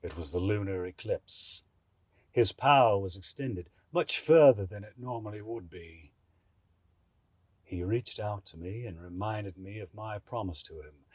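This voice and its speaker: Audio drama schemer, male actor: low, measured, formal and secretive, recorded close and dry. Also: a little mellow, no room sound, natural voice. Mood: grave, recounting. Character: grave, secretive male schemer, an escaped captive bargaining.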